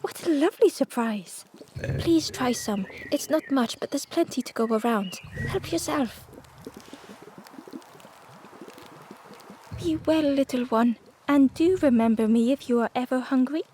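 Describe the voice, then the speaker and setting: A woman's voice: high voice, moderate pace, mellow, a little hiss or hum, lightly acted. A woman voicing a kindly, wistful game cook who muses in a hesitant, folksy way. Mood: delighted, warm.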